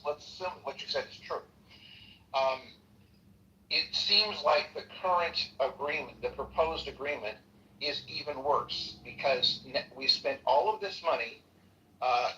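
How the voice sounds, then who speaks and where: A man debating politely in a political call-in discussion, hedging his points and measured. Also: medium pitch, sharp tone, reverberant, recorded from a distance, lo-fi sound, slight background noise.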